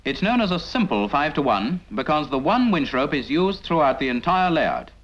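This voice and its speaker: A man's voice: a little high, sing-song, normal speed, fairly bright tone. A British man narrating a scripted 1950s military training film in an instructional manner, speaking directly to the trainee. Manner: didactic, addresses the trainee with rhetorical questions. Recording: dull 1950s film soundtrack.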